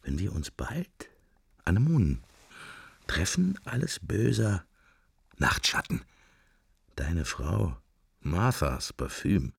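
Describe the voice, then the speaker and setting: An actor playing a brooding, furtive middle-aged man in a radio drama, murmuring his drifting, sensual thoughts in fragments with a gravelly, husky voice. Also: sits low, very animated, slow pace, pauses often, no echo, clean studio sound, exaggerated voice. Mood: dreamy, brooding. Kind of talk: radio drama interior monologue.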